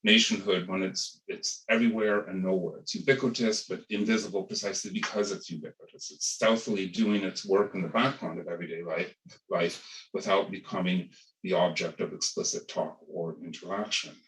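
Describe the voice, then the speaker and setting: Academic man on a webinar panel, deep voice, halting restarts, roomy distant call audio. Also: steady tone, fairly bright tone. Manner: thoughtful, hedging, many restarts and repeated words. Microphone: video call.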